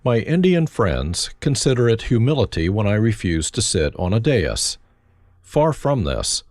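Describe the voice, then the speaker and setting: A man reads his own life story, his low voice rising and falling with a lot of movement. His humor is dry and self-mocking. The sound is dry and close, with no room around it. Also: darkish tone, dry recording. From Germany. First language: German. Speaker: autobiography narrator. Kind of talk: reflective first-person memoir reading.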